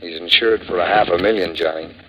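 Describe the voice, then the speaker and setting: Radio drama support, an insurance boss handing out jobs: fairly low, businesslike, noisy vintage recording. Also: male voice, fairly bright tone, dull audio. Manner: brisk, matter-of-fact. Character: businesslike male insurance company manager. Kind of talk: 1950s radio drama acting.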